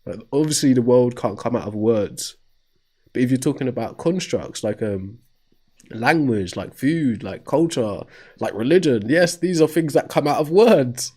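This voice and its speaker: A man's voice: mid-range voice, lively intonation, moderate pace, a little mellow. A man hosting a call-in talk show, debating a caller and cutting in with rhetorical questions. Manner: argumentative, cuts in with rhetorical "right?".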